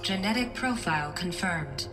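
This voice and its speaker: A woman's voice: on the low side, lively intonation, moderate pace, lightly acted. An actress playing a formal, emotionless female computer security system in a video game, giving clipped announcements. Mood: impassive. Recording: loud music behind.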